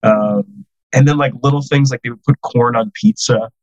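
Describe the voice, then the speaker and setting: A man's voice: medium pitch, fairly even intonation, moderate pace, no echo, highs cut off. A man in his thirties from the United States, a podcast guest telling self-deprecating stories in casual chat, full of "like" fillers.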